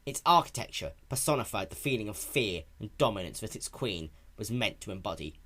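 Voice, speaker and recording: A man's voice: fairly low pitch, expressive, a little fast, fairly bright tone, slightly rough. A man narrating a piece of fan fiction as a dramatic reading.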